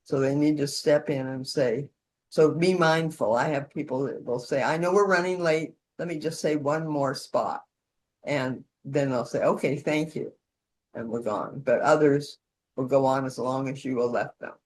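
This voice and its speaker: A woman's voice: a bass voice, normal speed, a little mellow, rough recording. A woman leading an instructional session in an informal, conversational way, with role-played support calls. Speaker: session leader demonstrating peer-support calls.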